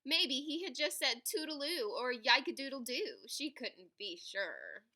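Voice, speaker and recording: Woman doing storytime read aloud, highish bright voice, really expressive, draws out the big words. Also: a little slow. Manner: animated, dramatic, stretches key words for emphasis. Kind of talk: expressive children's picture book reading.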